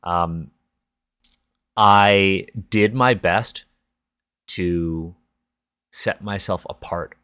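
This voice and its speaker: A man's voice: deep voice, drawn-out words, pauses often, fairly bright tone, clean tone, dry recording, clean studio sound. A man with a Canadian accent hosting a podcast, chatting casually in a candid, informal and reflective way. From Toronto, Canada. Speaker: podcast host.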